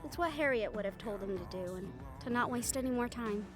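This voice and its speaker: A woman's voice: on the high side, fairly quick, natural voice. A woman playing a writer who fondly tells how her characters' stories end, in an intimate audio roleplay style. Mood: fond, wistful. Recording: music playing behind the voice. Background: background music.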